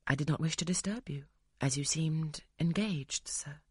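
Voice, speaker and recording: An audiobook reader voicing a timid young governess who answers softly and deferentially. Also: medium pitch, normal speed, slightly rough, no room sound, studio quality. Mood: reserved. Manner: quiet, restrained, deferential. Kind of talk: audiobook character reading.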